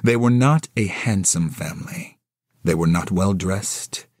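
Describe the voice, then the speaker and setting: Male audio-play narrator: low, unhurried, dry close sound, scripted storybook delivery. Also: a little mellow, no echo.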